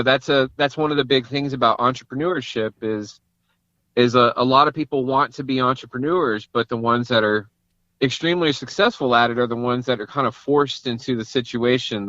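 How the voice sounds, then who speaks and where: A man's voice: medium pitch, fairly quick, a bit bright, no echo. A man speaking as an interview guest in casual, informal conversation, thinking aloud as he goes, over dull band-limited call audio. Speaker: guest, entrepreneur and father.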